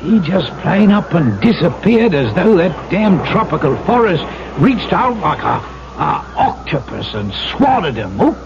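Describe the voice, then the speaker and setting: A superstitious old sea captain for a radio play. He talks on in a folksy, emphatic way, his voice high and full of gravel. The old broadcast recording is very noisy. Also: very animated, measured pace, highs cut off, heavily acted. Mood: grim, emphatic. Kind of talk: 1970s radio drama acting.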